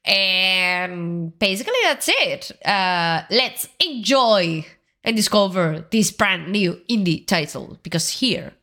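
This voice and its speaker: A woman's voice: medium pitch, expressive, slow, sharp tone. A woman with a cold hosting a let's-play, chatting casually and thinking aloud as she plays. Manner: chatty, thinks aloud while playing, hums along. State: has a cold. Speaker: gaming video host. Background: game music.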